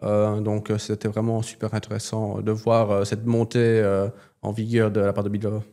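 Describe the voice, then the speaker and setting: A man who is not a native French speaker, a company representative in a business interview, speaking haltingly and searching for words. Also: lowish voice, monotone, dark tone.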